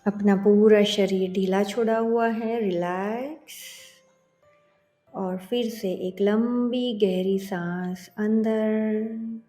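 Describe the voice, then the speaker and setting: A woman leading a guided meditation as a yoga instructor, soothing and gently instructing with long pauses. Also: medium pitch, fairly even intonation. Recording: roomy echo. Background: soft music.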